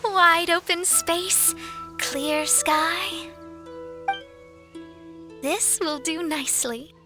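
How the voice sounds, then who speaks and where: Young female astrologer mage, high voice, deliberate pace, haughty, articulate, fantasy game dialogue. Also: music in the background, exaggerated voice. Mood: confident, studious. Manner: confident, articulate, slightly haughty. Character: proud, self-assured young woman astrologer mage. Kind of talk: scripted video game dialogue.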